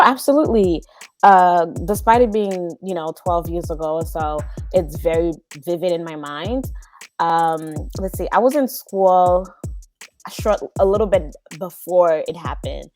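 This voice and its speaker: A woman's voice: on the low side, moderate pace, a little mellow, soft background music. A young woman from Haiti, a polite podcast guest talking about her life with many ums. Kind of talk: casual interview.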